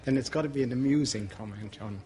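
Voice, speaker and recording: A man speaking as an agent in an interview, measured and slightly formal. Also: medium pitch, warm tone, a little hiss or hum. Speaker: stripper agent.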